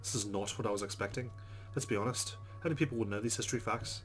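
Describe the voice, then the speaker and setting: A man's voice: fairly low pitch, quick pace, a bit bright, faint music behind. A man hosting a let's play, reading visual novel text aloud and adding informal, chatty commentary.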